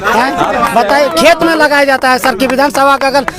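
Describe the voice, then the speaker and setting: Casting: young male student protester, Jharkhand accent, very high-pitched voice, impassioned and pleading delivery. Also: moderate pace, a crowd in the background. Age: young adult. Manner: aggrieved, pleading. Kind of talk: impassioned street interview.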